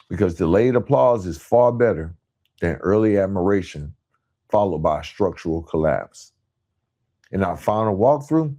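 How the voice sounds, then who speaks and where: A man hosting a podcast, delivering a motivational monologue in a measured, emphatic way with rhetorical repetition. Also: fairly low pitch, dark tone. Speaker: podcast host.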